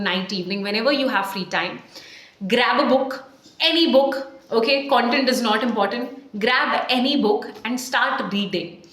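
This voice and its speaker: A woman in her thirties with an Indian accent, coaching viewers on camera in an emphatic, animated way with questions to the viewer. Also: fairly high pitch. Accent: Indian English. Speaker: communication coach. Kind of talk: to-camera tutorial. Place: small tiled room, indoors, at a desk. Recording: echoey small room.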